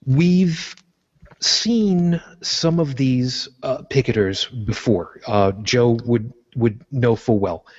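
A man's voice: medium pitch, takes it slowly, a little mellow, dull audio. A man from Brooklyn co-hosting a podcast in casual chat, rambling and correcting himself as he jokes. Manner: rambling, self-correcting, jokey. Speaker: podcast co-host.

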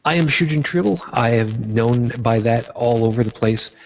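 A man's voice: mid-range voice, moderate pace, slight background noise. A man from New York hosting a casual roundtable, talking bluntly and swearing freely over a video call. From New York, United States. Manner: blunt and profane. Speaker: podcast host. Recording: dull lo-fi sound.

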